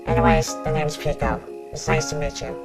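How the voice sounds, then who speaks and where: A woman's voice: sits low, steady tone, a little fast, creaky. A woman playing a tough young male outlaw in an ASMR roleplay, speaking in a curt, brooding, protective way. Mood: calm, guarded. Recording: background music under the voice.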